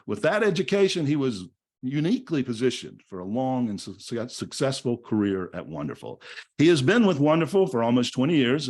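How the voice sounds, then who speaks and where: A male podcast host reads a scripted introduction and then chats with his guest. His voice is slightly husky, and his pitch rises and falls a lot as he leans on key words. He delivers it all in an emphatic, upbeat way. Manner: lively, emphatic delivery with laughs.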